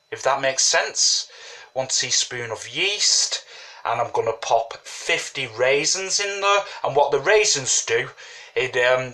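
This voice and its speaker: Slow, chatty man with a cold. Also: mid-range voice, sharp tone. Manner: chatty, rambling, says "you know what I mean". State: has a cold, sniffling. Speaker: home brewing hobbyist. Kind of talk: casual how-to tutorial. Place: kitchen table, indoors.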